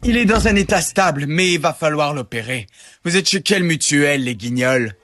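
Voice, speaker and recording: A man's voice: fairly high pitch, animated, moderate pace, fairly bright tone, slight background noise. A man voicing a frantic, crude emergency doctor in a cartoon fan dub. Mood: stunned, brusque.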